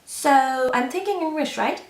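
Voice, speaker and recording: Female vlogger, 20s, native Turkish speaker, casual and frank, slightly high voice, roomy echo. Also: unhurried, heavy background noise. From Turkey. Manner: chatty, candid, with frequent fillers and non-native grammar. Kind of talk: casual talk to camera.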